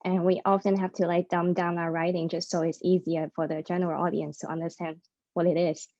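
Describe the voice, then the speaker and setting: Journalist woman, born hong kong grew up new york, mellow lowish voice, lots of um and like, dry muffled call sound. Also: flat delivery, fairly quick, dry recording. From born in Hong Kong, raised in New York. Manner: conversational, frequent filler "um" and "like". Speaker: freelance journalist, panelist. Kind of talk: prepared panel remarks. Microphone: video call.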